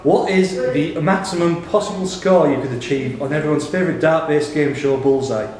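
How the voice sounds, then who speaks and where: A man's voice: a little high, moderate pace, a crowd in the background. A man reading out questions and answers as the host of a live pub quiz. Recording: very echoey room with background noise.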